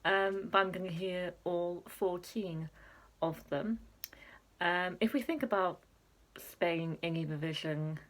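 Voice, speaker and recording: A young woman chatting casually to camera, filling pauses with ums and laughing at herself. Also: lowish voice, poor audio. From Wales. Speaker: Eurovision reaction vlogger.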